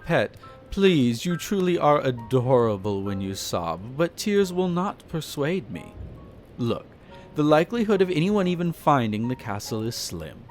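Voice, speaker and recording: A man performs an aristocratic male vampire in a roleplay audio drama, over background music. He draws out his words with theatrical pauses, his pitch sweeping up and down. The manner is formal and condescending, flirtatious yet possessive, like a master addressing his pet. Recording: music behind the voice.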